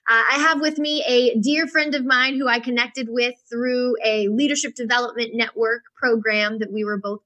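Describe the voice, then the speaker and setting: A woman's voice: on the high side, rough recording. A woman hosting a leadership podcast, chatting with effusive enthusiasm. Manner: warm, effusive introductions. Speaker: podcast host. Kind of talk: enthusiastic interview chat.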